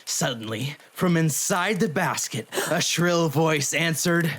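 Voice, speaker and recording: A man's voice: on the high side, expressive, slow pace, fairly bright tone, heavily acted. A man voicing a brash, blunt young dog demon who grumbles and riles easily, in an anime-style audio drama. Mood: spooky storytelling.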